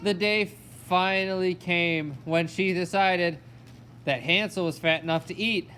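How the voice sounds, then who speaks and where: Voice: medium pitch, unhurried, a bit bright, a little hiss or hum. A narrator telling a fairy tale in a storytelling style for a children's puppet show.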